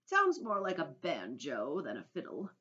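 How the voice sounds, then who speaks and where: A woman's voice: sits low, wildly swooping pitch, moderate pace, pushed and bright, heavily acted. A woman voicing a kindly, proud old male grasshopper musician in a storybook, genteel and theatrical. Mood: haughty. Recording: dull lo-fi recording.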